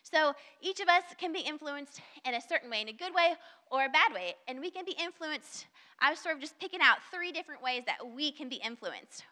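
A woman's voice: high voice, expressive, brisk, sharp tone, long reverb tail. A young woman with a Southern US accent, preaching an earnest, conversational sermon at a church service and reading scripture. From Southern United States. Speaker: guest speaker at a church service. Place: church sanctuary.

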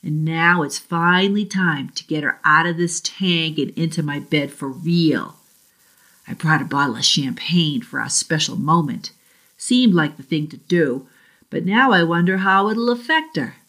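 A woman from Boston's South Shore with a Boston accent, reading her own first-person short story aloud in a measured, deliberate way. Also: sits low, a bit bright.

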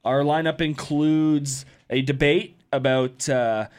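Man, 20s, casual podcast co-host, relaxed and filler-heavy. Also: fairly high pitch, slow, clean tone. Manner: relaxed, many fillers, laughs easily. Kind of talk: casual sports podcast chat.

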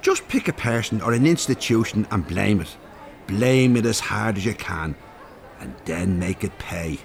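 An actor playing a blunt, teasing older Irish rogue in an audio drama, colloquial and cheeky. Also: male voice, on the low side, lively intonation, measured pace, gravelly, slight background noise. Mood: coaxing.

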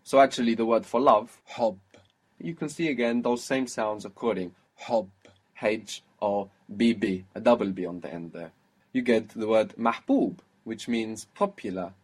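A man teaching a one-on-one language lesson, patiently explaining and spelling out sounds slowly. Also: on the low side, breathy edge. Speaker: Arabic teacher.

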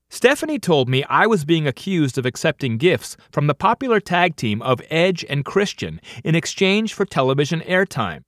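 A middle-aged man reads a first-person audiobook in a fairly high, animated voice. His delivery is wry and scripted, setting up punchlines with dry comic timing. The sound is close and dry. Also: no room sound. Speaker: author reading own audiobook.

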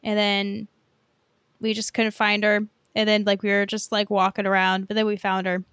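A woman's voice: medium pitch, completely flat delivery, normal speed, fairly bright tone, smooth, no room sound, clean studio sound. An American woman hosting a podcast in a casual, conversational way, often saying "like" as she goes. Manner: informal and conversational, peppered with "like". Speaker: podcast host.

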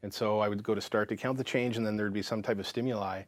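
Guy in his 30s, low voice, dry close sound, frank and lively, talks about his life. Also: fast talker, no echo. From Canada. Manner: frank and lively, full of fillers and restarts. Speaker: counselor and psychotherapist, interview guest. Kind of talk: casual interview storytelling.

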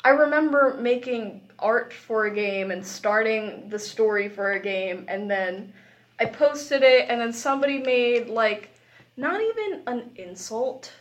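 A woman's voice: on the high side, expressive, a little slow, lo-fi sound. A young adult woman in casual podcast chat, giggly and self-deprecating in the way she talks. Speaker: podcast host.

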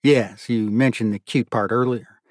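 Man as a kindly, measured, fatherly gentleman. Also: mid-range voice, fairly even intonation, no room sound, clean studio sound, lightly acted. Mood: wryly curious. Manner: measured, kindly, wry, formal wording. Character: gentle older gentleman, fatherly, wry, friend of judge.

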